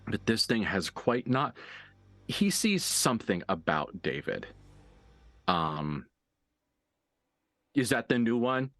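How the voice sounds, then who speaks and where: A man narrating a tabletop horror game as game master, dramatically and deliberately, with pauses for emphasis. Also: fairly low pitch, very animated, fairly bright tone, no room sound.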